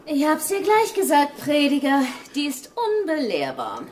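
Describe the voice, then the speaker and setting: A cold, bloodthirsty gang woman in a radio play. She speaks scornfully in a rather high, very animated voice over faint background noise. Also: a little slow, exaggerated voice. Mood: callous. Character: callous, bloodthirsty female gang member. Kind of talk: radio drama acting.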